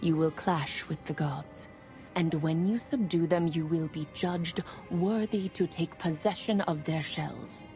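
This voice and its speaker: An actress playing a solemn prophetic seer in a video game, grave and measured in manner. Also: female voice, low-pitched, darkish tone. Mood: solemn, foreboding. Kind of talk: video game cutscene acting. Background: faint game music.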